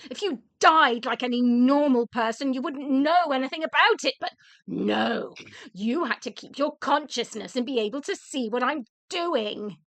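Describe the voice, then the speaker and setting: A woman's voice: high voice, lively intonation, moderate pace, fairly bright tone, no echo. A woman with a British accent playing a weary, resentful, blunt and sardonic widow in a radio play. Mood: exasperated, pointed.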